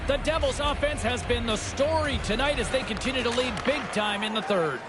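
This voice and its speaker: A man's voice: extremely high pitch, a little fast, over loud music. A man playing a video game hockey play-by-play announcer, calling the action in clipped, projected phrases. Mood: focused. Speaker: play-by-play sports commentator. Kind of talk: ice hockey game broadcast commentary. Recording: loud arena crowd noise behind. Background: crowd and rink ambience.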